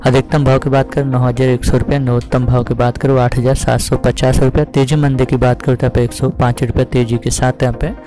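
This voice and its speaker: A man's voice: mid-range voice, level tone, fast, few pauses. A man hosting a market update, reading out prices as scripted narration in a formulaic, repetitive recital. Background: music.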